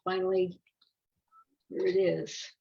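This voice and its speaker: An older woman reads a children's story in a creaky, gravelly voice. She takes her time and slips into casual, musing asides. The sound is a bit dull and lo-fi. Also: on the low side, level tone, slow pace, mellow. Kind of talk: read-aloud picture book with casual asides.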